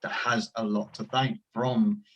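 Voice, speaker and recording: Lowish-voiced man, dull lo-fi audio. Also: little pitch movement, unhurried, fairly bright tone. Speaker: discussion panellist. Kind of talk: casual panel discussion. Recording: dull lo-fi sound.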